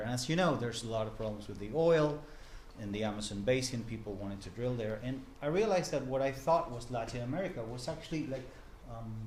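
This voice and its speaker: A man with an Argentine accent gives an informal lecture in English, his second language. He speaks in halting phrases with frequent restarts, at a middling pitch. The echoey room and a faint hiss come through behind him. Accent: Argentine Spanish. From Argentina (Buenos Aires). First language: Spanish. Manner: halting, many fillers and restarts, asks the room questions. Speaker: guest speaker, journalist and professor.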